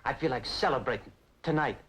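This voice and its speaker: An actor playing a shifty, smooth-talking crook in an old crime drama, coaxing, hesitant and pleading under pressure. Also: male voice, medium pitch, brisk, a bit bright, a little hiss or hum, close to an everyday voice. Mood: coaxing, upbeat.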